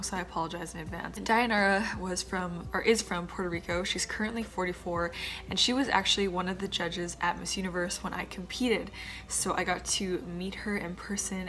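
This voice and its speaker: A woman in her twenties chatting casually to camera as a vlogger, with quiet muttered asides and a slightly stuffed-up nose. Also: on the low side. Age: 20s. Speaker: vlogger, former pageant contestant.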